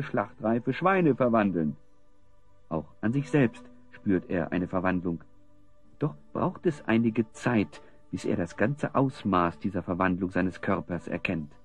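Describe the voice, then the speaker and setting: Animated, lowish-voiced male storyteller. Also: lo-fi sound. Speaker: narrator. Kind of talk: scripted audio drama narration.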